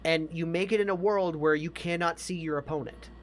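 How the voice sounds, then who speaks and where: A man's voice: a little high, steady tone, brisk, a little hiss or hum. A man chatting casually about movies as a podcast co-host, emphatic, opinionated and given to swearing.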